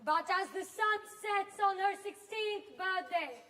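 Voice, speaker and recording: Vengeful sorceress for a school stage play young woman acting, very high pitch, deliberate delivery, long hall reverb. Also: pushed and bright, heavily acted. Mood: menacing, grand. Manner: slow, grandly deliberate, mockingly polite. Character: proud, vengeful female dark fairy sorceress, mocking and menacing. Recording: noisy school hall, long echo, distant.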